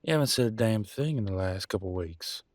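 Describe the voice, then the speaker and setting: A man playing a weary, embittered werewolf pack leader and husband in a dramatic audio roleplay, with a folksy, sarcastic, pausing delivery. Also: lowish voice, expressive, darkish tone, dry recording, studio quality, natural voice. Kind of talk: dramatic one-sided relationship roleplay audio.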